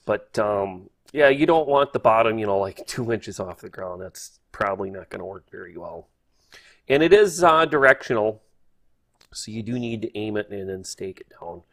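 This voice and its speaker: Man, lowish voice, folksy, hesitant, casual hobby how-to narration. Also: animated, moderate pace, a bit bright. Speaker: host, hobbyist instructor. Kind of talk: casual spoken tutorial over screen.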